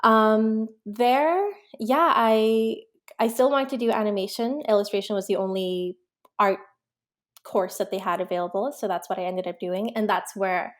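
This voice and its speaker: A young woman from Pakistan, an author and illustrator, answering interview questions in a relaxed, reflective way with many ums and likes. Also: mid-range voice, moderate pace. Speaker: guest, children's book author and illustrator.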